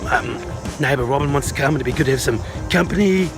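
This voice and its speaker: A man with a New Zealand accent and a hoarse voice from a sore throat, chatting casually and self-deprecatingly in a fishing vlog. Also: mid-range voice, expressive, fast talker. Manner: laid-back, self-deprecating. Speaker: vlogger. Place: outdoors on a small boat. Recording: loud music over the voice.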